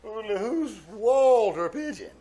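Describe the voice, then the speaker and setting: A man's voice: high-pitched, big pitch swings, takes it slowly, mellow, heavily acted. A man playing a pompous, jealous, easily flustered middle-aged businessman in a 1940s radio comedy style. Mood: puzzled. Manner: blustering, sputtering.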